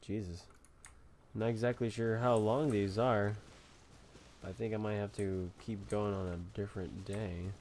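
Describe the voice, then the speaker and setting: A man's voice: fairly low pitch, fairly even intonation, measured pace, darkish tone, a little hiss or hum. A man making casual, offhand remarks while playing a game, muttering hesitantly. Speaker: game streamer.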